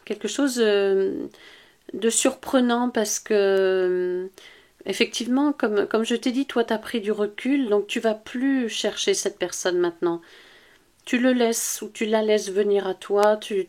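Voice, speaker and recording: A woman giving an informal relationship guidance reading as an intuitive coach, speaking straight to the listener in a halting, repetitive, reassuring way that searches for words. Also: mid-range voice, darkish tone.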